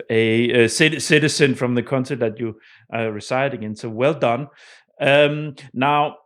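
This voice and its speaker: A man hosting a podcast interview in an informal, halting conversational way, often repeating words and restarting. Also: mid-range voice. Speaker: podcast host.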